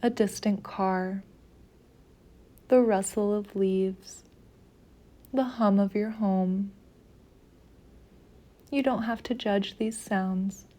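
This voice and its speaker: A woman guiding a meditation in a soothing, measured narration with long pauses between phrases. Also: medium pitch, fairly even intonation, dark tone. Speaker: meditation guide. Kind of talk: slow guided meditation narration.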